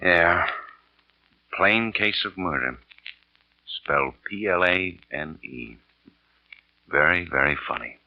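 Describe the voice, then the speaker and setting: A man playing a gruff, cynical police detective in a 1940s radio drama, curt and dry. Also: deep voice, lively intonation, takes it slowly, long pauses, a little hiss or hum, heavily acted. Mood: curt, sardonic. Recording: muffled old radio recording.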